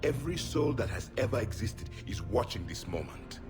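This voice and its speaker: A man's voice: mid-range voice, sing-song, normal speed, natural voice. A man playing an ancient alien warrior, last of his people, speaking in a grave, formal, declamatory way in a video game. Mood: solemn, stirring. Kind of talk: video game dramatic acting. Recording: game dialogue over loud music.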